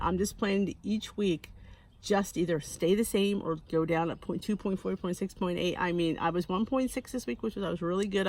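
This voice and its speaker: A woman in her early fifties, talking candidly to camera in a rambling, self-deprecating way and often restarting her sentences. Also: on the low side. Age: 50s. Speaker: weight-loss vlogger. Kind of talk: casual talking-to-camera monologue.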